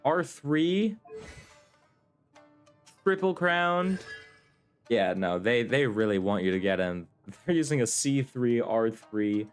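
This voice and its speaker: A man's voice: medium pitch, animated, slow, long pauses, clean tone, faint music behind. A man giving casual commentary as he streams a video game.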